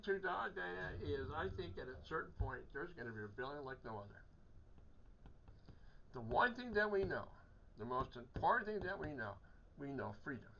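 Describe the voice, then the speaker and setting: An older man, a hospital chaplain, talking in an earnest, rambling way with halting restarts, his voice slightly hoarse from a cold. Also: a little high, sharp tone, muffled recording, slight background noise. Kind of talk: casual conversation.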